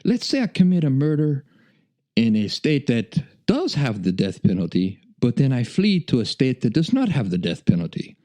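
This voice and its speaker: A man voicing a curious young Israeli man in a deliberately clumsy put-on Israeli accent. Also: medium pitch, huge pitch swings, warm tone, voice pushed up.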